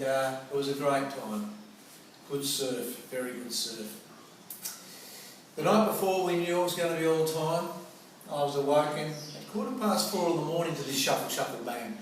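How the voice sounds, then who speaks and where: A middle-aged Australian man telling a personal anecdote in a colloquial, theatrical way. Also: mid-range voice. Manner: colloquial, theatrical, acts out remembered dialogue. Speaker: storyteller. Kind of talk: animated personal anecdote storytelling. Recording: roomy, echoing, noisy distant microphone.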